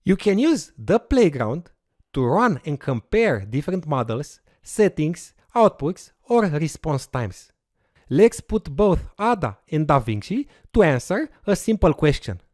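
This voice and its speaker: An instructor explains step by step, pitch rising and falling a lot. The voice is dry and close, with no room sound. Now and then there is a short "uh" while the instructor works through each step. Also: very animated, a little slow, darkish tone, no room sound. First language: Romanian. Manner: explanatory, walks through steps with occasional fillers. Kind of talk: recorded course lecture with screen demo.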